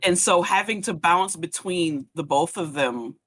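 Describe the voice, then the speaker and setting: A woman's voice: on the low side, unhurried, a bit bright. A woman on a podcast panel talking casually with the group.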